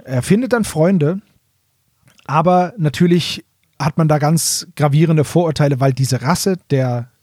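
A man's voice: fairly high pitch, measured pace, a little mellow, no room sound, studio quality. A middle-aged German man co-hosting a podcast in casual fan chat, explaining things and often rephrasing himself mid-sentence. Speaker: podcast co-host reviewing audio dramas.

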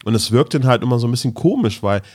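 A man's voice: mid-range voice, animated, very fast, darkish tone, breathy edge. A man hosting a podcast, chatting casually and explaining things in a rambling way. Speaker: podcast host.

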